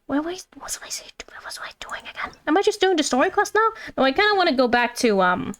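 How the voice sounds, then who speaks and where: Giggly, high-pitched woman streaming games. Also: very animated, fast talker, fairly bright tone. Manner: rambling, thinking aloud; giggly, playful, self-deprecating. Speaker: livestreamer. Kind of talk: casual chatty game livestream commentary.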